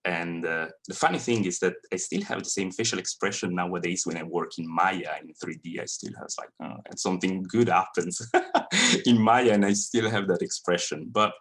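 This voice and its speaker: Low husky Swiss Italian-accented man. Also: normal speed, few pauses, fairly bright tone. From Italian-speaking Switzerland. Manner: hesitant, many fillers and restarts, friendly. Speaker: animator giving an industry talk. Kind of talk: presentation to students. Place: college lecture room.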